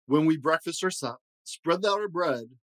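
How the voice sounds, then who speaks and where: A proud, stern old grandfather from a storybook, as a man reads him aloud, lecturing in indignant rhyme. His voice is on the higher side and leaps about for emphasis, recorded close and dry. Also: animated, normal speed, a bit bright, no room sound. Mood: indignant, grave. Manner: emphatic, moralizing, rhyming speech.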